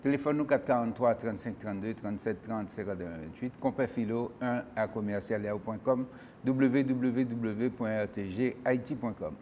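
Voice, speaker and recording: A man's voice: on the low side, normal speed, warm tone, slight background noise. A man hosting a call-in political talk show, who questions and presses his guest.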